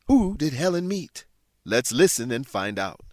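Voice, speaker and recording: A man narrating an educational radio drama as its announcer, with a clear, welcoming scripted delivery. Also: mid-range voice, very animated, measured pace, over loud music.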